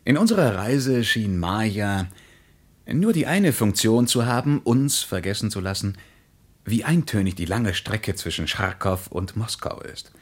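An actor playing a reflective traveller who recounts a journey in measured, deliberate words in a literary radio play. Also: male voice, fairly low pitch, expressive.

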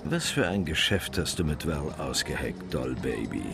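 Hard-boiled cop, low lively voice, blunt, taunting, threatening, radio crime drama. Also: male voice, normal speed, over music. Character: mocking, threatening hard-boiled male police detective.

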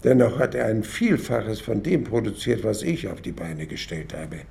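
An actor playing an old former radio writer, musing haltingly and wryly in a reflective radio play monologue. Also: male voice, fairly low pitch, very animated, vocal fry, mellow, full character voice. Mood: wistful, musing. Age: older. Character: old former radio writer sorting his papers, wistful and wry.

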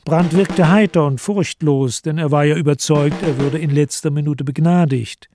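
A male narrator reads scripted literary prose for a radio drama. His voice sits slightly high and moves with measured solemnity, over a faint bed of background noise.

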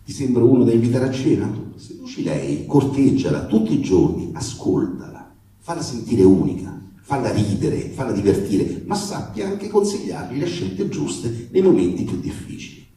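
A man playing an anxious, protective, foul-mouthed father in a comic monologue, halting and colloquial but emphatic. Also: medium pitch, big pitch swings, warm tone, slight background noise, close to an everyday voice. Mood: earnest, exasperated advice. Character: anxious, protective, foul-mouthed father addressing his daughter's young boyfriend. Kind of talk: theatrical reading of a comic monologue letter. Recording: echoey room, distant microphone.

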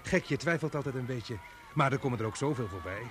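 A man's voice: medium pitch, expressive, quick pace, slight background noise, lightly acted. An actor playing a chatty, showy bystander who talks in salesman-like patter and commentary in a radio play. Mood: chatty, nosy. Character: chatty, showy bystander man turned excited event commentator. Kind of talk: Dutch radio play acting.

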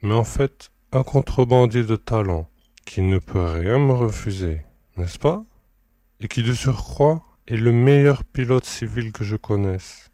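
A commanding male admiral played by a man in a science fiction audio drama speaks with courteous irony. His voice is dark and mellow, recorded close and dry. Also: on the low side, measured pace, no echo. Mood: wryly amused. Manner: courteous, wry, authoritative. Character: ironic, commanding male admiral and space emperor. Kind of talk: French audio drama acting.

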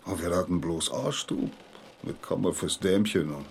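An actor playing an old, plain-spoken village blacksmith in an audio drama, rambling in a halting, folksy way. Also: male voice, low voice, vocal fry, a little hiss or hum. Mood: plain, reminiscing. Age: older. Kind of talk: literary audio drama dialogue.

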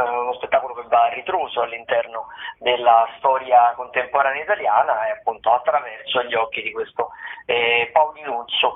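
A man who is a theatre critic, calling in with the week's listings in a hesitant, rambling way full of fillers. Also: medium pitch. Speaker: theatre critic, weekly contributor. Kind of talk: casual phoned-in theatre listings. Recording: husky phone line over radio.

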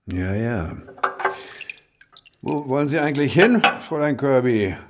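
Male actor as older country barkeeper, audio drama, medium pitch, unruffled, cryptic, knowing teasing, evasive, noisy background. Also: animated, unhurried, lightly acted. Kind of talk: naturalistic German audio drama dialogue.

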